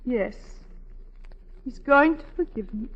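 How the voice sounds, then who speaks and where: A woman's voice: on the high side, animated, slow pace, slightly rough, highs cut off, full character voice. A woman playing a refined, guilt-ridden wife who has killed her lover, in intense 1940s radio drama style. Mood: anguished confession. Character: tormented unfaithful wife confessing murder, refined and guilt-ridden. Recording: band-limited 1940s radio recording with music.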